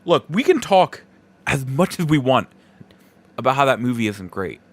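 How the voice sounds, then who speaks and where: A man's voice: medium pitch, sing-song, moderate pace. A man hosting a podcast solo, giving opinions in a casual, conversational and emphatic way. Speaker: podcast host.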